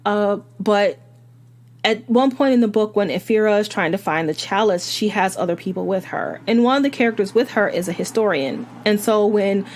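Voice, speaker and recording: Slightly hoarse chatty woman book reviewer. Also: mid-range voice, moderate pace, a little mellow. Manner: chatty, rambling with fillers and restarts. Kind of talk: casual book review monologue.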